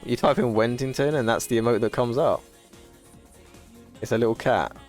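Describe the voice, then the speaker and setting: A man's voice: normal speed, a little mellow, soft background music. A man in his twenties, chatting casually and profanely to a live stream audience, rambling and laughing often. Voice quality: low voice. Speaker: livestreamer.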